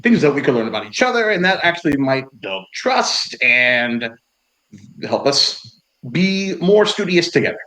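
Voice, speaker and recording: A man's voice: on the high side, very animated, measured pace, pushed and bright, poor audio. A man playing a tabletop role-playing game, speaking in and out of character in an easygoing, rambling way. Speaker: player.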